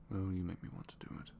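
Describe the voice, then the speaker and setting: A man playing a captured, reluctantly evil supervillain in a romantic ASMR roleplay, bashful and lovestruck, speaking softly and haltingly and slipping into whispers. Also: low voice, gravelly, slight background noise. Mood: shy, wistful. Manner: halting, self-deprecating, soft confiding delivery slipping into whispers.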